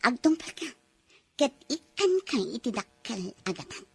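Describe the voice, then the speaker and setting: A woman's voice: fairly high pitch, very animated, a little slow, creaky, husky, full character voice. A woman voicing a cruel, scheming female employer who gives threatening orders to her maid in a radio drama. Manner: cold, commanding, threatening.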